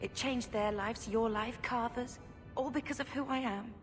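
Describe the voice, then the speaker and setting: An actress playing an earnest, wistful young mage in a video game, speaking sincerely and reflectively. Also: female voice, fairly high pitch, moderate pace, soft background music, lightly acted. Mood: sad, earnest.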